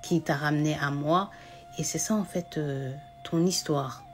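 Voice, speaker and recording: A woman's voice: low-pitched, measured pace, slight background noise. A woman voicing a loving, protective adoptive mother in a spoken story, emphatic and reassuring. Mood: subdued.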